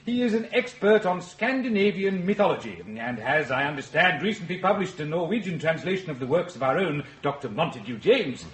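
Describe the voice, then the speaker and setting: A man's voice: on the high side, sing-song, a little fast, hardly any pauses. A man playing a formal, courteous academic introducing a lecturer in a drama, in the manner of public address. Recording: echoey lecture hall.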